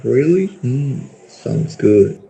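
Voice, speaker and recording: A man's voice: mid-range voice, animated, drawn-out words, creaky, warm tone, exaggerated voice. A man playing a guarded, hesitant young student in an amateur radio drama. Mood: reserved, casual. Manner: reserved, hesitant, terse. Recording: roomy echo, faint music behind.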